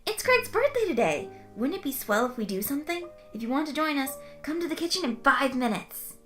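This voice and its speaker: A woman's voice: sits high, very animated, brisk, a bit bright, faint music behind, voice pushed up. A woman voicing a cheery, earnest young male accountant in an audiobook, eager and folksy. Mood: cheerful, eager. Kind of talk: audiobook character voice.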